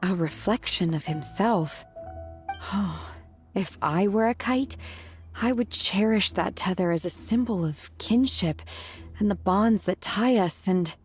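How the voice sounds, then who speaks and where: A woman voicing a reserved, earnest young exorcist who speaks quietly and carefully in a video game. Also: medium pitch, soft background music, lightly acted. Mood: pensive. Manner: slow, quiet, measured, earnest.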